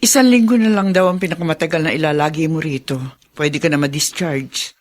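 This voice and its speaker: A woman's voice: low voice, fairly quick, close to an everyday voice. An actress playing a hopeful, steadfast woman comforting a patient in a radio drama. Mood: reassuring, hopeful.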